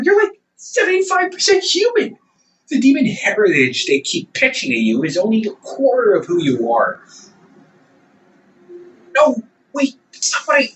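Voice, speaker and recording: A young man playing a protective, weary half-demon older brother in a dramatic audio roleplay, pleading and exasperated with emphatic, halting delivery. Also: sits high, a bit bright, far from the mic, slight background noise, heavily acted, low-quality recording.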